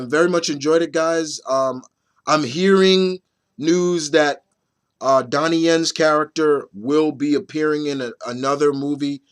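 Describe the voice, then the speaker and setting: A man in his thirties talks casually to his viewers as a movie reviewer. His medium-pitched voice is a little raspy, as if run down with a cough. He speaks haltingly, circling back to repeat phrases for emphasis. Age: 30s. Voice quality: slightly raspy. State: mildly under the weather with a nagging cough.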